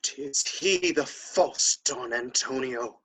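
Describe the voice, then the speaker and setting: Jealous noble brother played by a man for period comedy. Mid-pitched, forceful voice, formal and theatrical. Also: slow, breathy edge, heavily acted. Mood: indignant. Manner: formal, dramatic, archaic speech. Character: proud, jealous young nobleman, vengeful brother. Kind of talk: period stage comedy audio drama.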